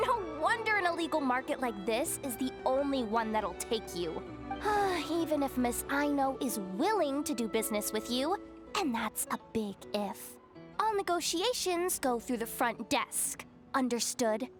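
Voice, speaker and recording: A sharp-tongued young woman with a high, clear voice who talks in a dry, commanding, sarcastic way. Game music plays faintly behind her. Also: big pitch swings, a little slow. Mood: sardonic.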